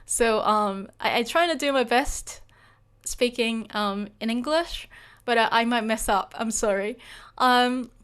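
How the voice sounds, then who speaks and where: Japanese lady teacher on a live stream, english w/ japanese accent, kinda high and smooth, lots of ums and restarts. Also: unhurried, fairly bright tone. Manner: hesitant, lots of fillers and restarts, apologetic. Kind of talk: live stream Q&A, answering chat.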